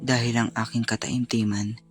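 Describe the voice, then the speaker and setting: A man playing an earnest, sorrowful young student poet in a solemn, measured dramatic monologue. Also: medium pitch, little pitch movement, natural voice. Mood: earnest, resolute. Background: faint music.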